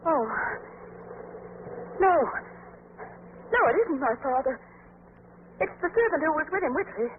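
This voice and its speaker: An actress playing a scheming, bitter young heiress in theatrical, sharp-tongued 1940s radio drama style. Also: female voice, high-pitched, animated, measured pace, pauses often. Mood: distraught. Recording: noisy, tinny 1940s radio recording.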